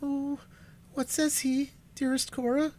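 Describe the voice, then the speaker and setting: Male reader as frail teen girl, very high, trembling, pleading. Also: level tone, slow, a little mellow. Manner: pleading, tearful. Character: young, fragile, trembling sister, girl.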